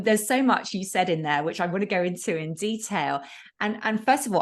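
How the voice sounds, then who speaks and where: Enthusiastic woman podcast host, slightly low voice. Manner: enthusiastic, effusive. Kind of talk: podcast interview hosting.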